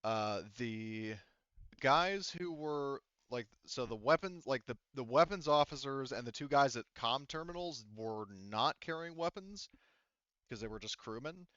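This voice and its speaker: Smooth-voiced man as game master, slightly muffled. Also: mid-range voice, animated, fairly bright tone, no echo. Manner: halting, circles back to rephrase explanations. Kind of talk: running a tabletop sci-fi game.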